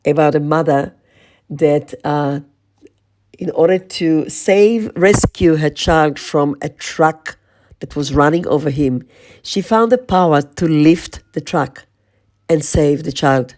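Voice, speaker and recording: Slow, husky-toned woman podcast host. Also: low voice, a little mellow. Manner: slow, measured, lingering on lists. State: voice strained, losing her voice. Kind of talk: reflective spoken podcast monologue.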